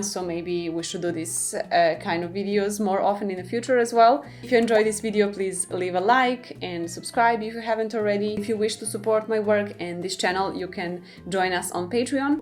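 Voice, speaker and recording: A young woman from Serbia, a native Serbian speaker, answering viewer questions in English in a relaxed, friendly way, with frequent ums and light laughs. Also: mid-range voice. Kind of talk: casual Q&A vlog.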